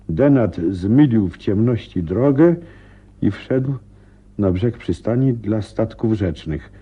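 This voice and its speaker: Earnest detective's sidekick in old radio play, lowish husky voice, muffled sound. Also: male voice. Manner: earnest, measured when reading aloud. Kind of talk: Polish radio drama acting.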